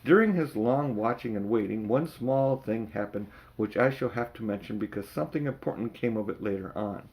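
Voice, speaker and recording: An older man narrating a children's story as an amateur audiobook reader, with dramatic emphasis and drawn-out words. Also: on the low side, a little mellow, rough recording.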